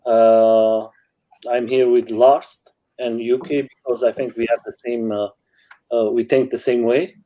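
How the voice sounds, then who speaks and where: A man from Portugal speaking halting English as a guest, with many fillers as he tells stories. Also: mid-range voice, flat delivery, mellow, low-quality recording. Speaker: guest rider. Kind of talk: casual chat in non-native English. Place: home.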